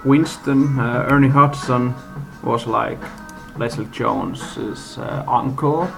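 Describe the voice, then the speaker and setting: A man giving an unscripted, casual movie-review rant in a halting, blunt way, in a homemade recording. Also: mid-range voice, steady tone, gravelly. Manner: halting, rambling delivery. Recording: homemade, radio music playing behind. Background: music from a live radio.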